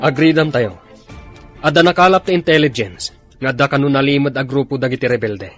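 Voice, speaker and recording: A male actor with a Filipino accent playing an urgent military officer in a radio drama, barking forceful orders to his troops. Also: mid-range voice, brisk, faint music behind, natural voice. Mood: urgent commanding.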